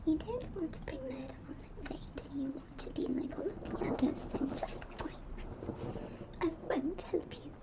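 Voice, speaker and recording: A woman acting a sulky, self-pitying ghost schoolgirl in an ASMR roleplay, whiny and drawn-out, often slipping into breathy whispers. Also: high voice, lively intonation. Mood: sulky, morbid.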